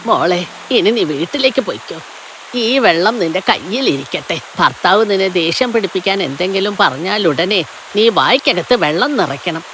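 A woman voicing a kindly, sympathetic old village woman in a fairy-tale cartoon, with soft, slow, soothing delivery. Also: fairly low pitch, expressive, slight background noise. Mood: sympathetic, advising. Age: older.

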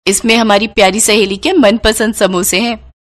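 A woman's voice: medium pitch, fairly even intonation, normal speed, natural voice. A woman voicing a wealthy, caring young friend, chatty and emphatic, in a cartoon moral story. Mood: encouraging.

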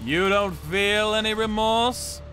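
A man's voice: sits high, takes it slowly, bright, forceful tone, faint music behind, full character voice. A man acting a cold, vengeful torturer who tells his story in a theatrical, menacing manga dub style. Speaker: narrator and lead voice actor. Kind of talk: dramatic manga dub narration.

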